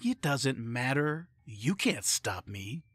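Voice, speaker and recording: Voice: medium pitch, sing-song, slow, fairly bright tone, breathy edge, no echo, studio quality, heavily acted. A performer voicing a scheming male villain who has taken over a child's body, speaking in a mocking, theatrical way in a fan audio drama.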